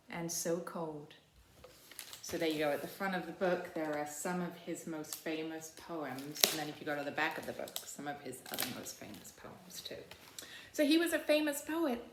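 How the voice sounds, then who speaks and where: Echoey, lower-voiced woman reading to children. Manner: teacherly, checking in with questions to young listeners. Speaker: school librarian reading to children. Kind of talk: read-aloud library video for children. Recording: roomy, echoing sound.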